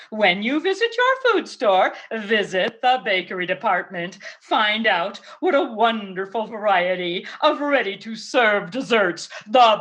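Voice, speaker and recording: A woman's voice: a little high, fairly bright tone. A woman speaking as a home economist, carefully reading an instructive 1950s radio sponsor message. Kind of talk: scripted 1950s radio advertisement. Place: radio studio.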